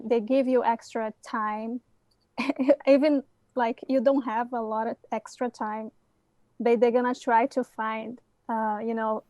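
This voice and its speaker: A woman's voice: fairly high pitch, dry recording. A woman from Brazil whose first language is Portuguese, with a Brazilian Portuguese accent, answering interview questions hesitantly with many fillers. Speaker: guest, bootcamp graduate.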